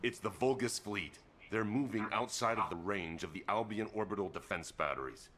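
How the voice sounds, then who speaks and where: A man playing Kyle, a deep-voiced soldier. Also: moderate pace, fairly bright tone, a little hiss or hum, natural voice. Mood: alarmed. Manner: firm, plain-spoken, encouraging. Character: steadfast male soldier pilot, brisk. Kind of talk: game cutscene dialogue.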